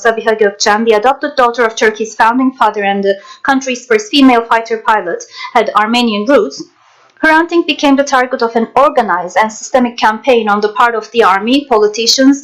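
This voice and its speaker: A woman narrator and interpreter reading scripted documentary voice-over in a measured, formal way. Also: fairly high pitch, poor audio.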